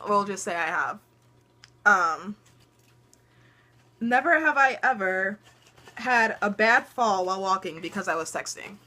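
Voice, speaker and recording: A teenage girl chatting on camera at home, her voice bright and forward. She tells stories in a loose, chatty way, laughing often and filling gaps with ums. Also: mid-range voice, expressive, a little slow, long pauses. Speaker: vlogger. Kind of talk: casual storytelling chat.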